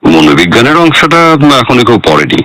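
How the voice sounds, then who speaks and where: An actor playing an elderly psychiatrist and former hunter, composed and principled, speaking formally and measuredly in a radio drama. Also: male voice, lowish voice, big pitch swings, a little fast, sharp tone, dry recording, heavily acted, rough recording. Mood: firm, reassuring.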